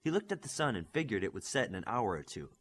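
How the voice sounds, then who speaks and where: A man's voice: fairly low pitch, sing-song, fast talker, fairly bright tone, husky. A man reading a superhero novel as a scripted audiobook narration.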